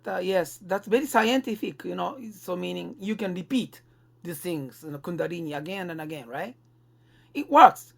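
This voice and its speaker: Gravelly-voiced woman, slow and halting. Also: fairly low pitch. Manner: halting, often seeking agreement with tag questions. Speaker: podcast co-host. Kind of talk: conversational discussion.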